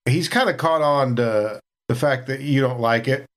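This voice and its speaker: Man player, chatty storytelling, medium pitch, casual game chat. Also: moderate pace.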